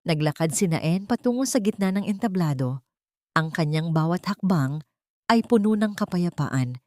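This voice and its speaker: Filipina storyteller, lowish dry voice. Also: female voice, darkish tone, no echo. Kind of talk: scripted dramatic narration of a love story.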